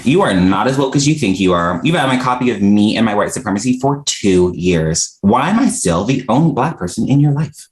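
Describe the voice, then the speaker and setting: A man's voice: fairly low pitch, animated, a little fast, hardly any pauses, close to an everyday voice. A man acting a frank, exasperated young non-binary dinner guest in a comedy script table read, talking in a candid, wry way. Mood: exasperated, sardonic.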